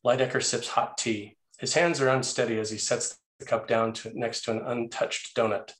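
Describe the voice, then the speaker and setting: Guy narrating script directions at a table read, mid pitched voice. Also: steady tone, moderate pace, fairly bright tone. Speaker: narrator reading stage directions.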